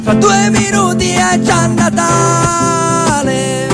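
Male singer-storyteller, very high register, wry and conversational, half spoken and half sung, prominent plucked guitar accompaniment. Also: level tone, very slow. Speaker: singer-songwriter and stage performer. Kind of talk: theatrical spoken monologue and sung storytelling songs with scat refrains. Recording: music throughout, plucked guitar. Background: acoustic guitar accompaniment.

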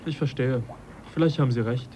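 An actor playing a thoughtful, polite but persistent gentleman naturalist in a German radio drama, with a reflective, measured manner. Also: mid-range voice, close to an everyday voice. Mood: questioning. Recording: slightly dull old radio recording, faint noise.

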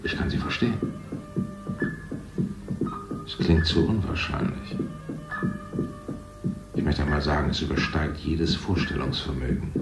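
An actor playing an older man who rules a future city, lecturing in a smooth, condescending way. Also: low voice, reverberant, heavily acted, rough recording. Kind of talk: 1970s radio play acting.

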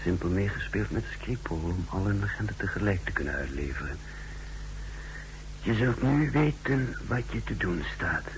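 Deep-voiced, calculating male spy. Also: animated, moderate pace. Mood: brooding, calculating. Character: secretive, scornful male spy recounting his mission. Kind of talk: 1960s radio drama monologue and narration. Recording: noisy, humming 1960s radio recording.

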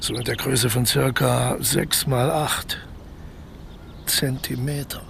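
Creaky-voiced scheming small-time crook. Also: male voice, medium pitch, steady tone, takes it slowly, fairly bright tone, a little hiss or hum, exaggerated voice. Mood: secretive, savouring. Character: scheming, embittered ex-convict man plotting a lucrative burglary. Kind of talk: naturalistic German radio play dialogue.

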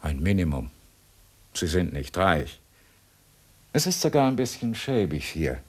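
An actor playing a cool, sardonic escaped convict in a German radio crime drama, mocking and coaxing with cunning politeness. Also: male voice, deep voice, big pitch swings, measured pace, a little mellow, breathy edge, full character voice. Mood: coolly persuasive.

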